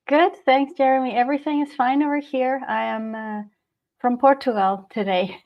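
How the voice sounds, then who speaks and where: A woman's voice: sits high, steady tone, moderate pace, lo-fi sound. A woman in her forties from Chile, a Spanish speaker, chatting casually as an interview guest. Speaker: interview guest, hypnotherapist and channeler. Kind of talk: casual personal storytelling.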